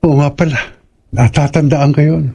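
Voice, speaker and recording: A young man haunted by nightmares, played by a man in a radio horror drama. His voice is husky and sits a little high. He sounds uneasy. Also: fairly even intonation, normal speed, warm tone.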